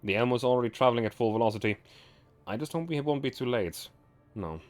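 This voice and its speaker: A man livestreaming as host, reading game story aloud with casual asides and stumbling now and then, his voice slightly raspy. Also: mid-range voice, animated. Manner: halting, often stumbles and corrects words while reading.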